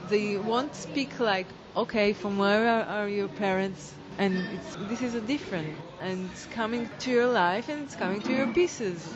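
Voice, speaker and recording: A woman, a dance teacher, answers interview questions in hesitant, searching English. The audio is dull and lo-fi. A crowd chatters in the background. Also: mid-range voice. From Israel. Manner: halting, searching for words in non-native English.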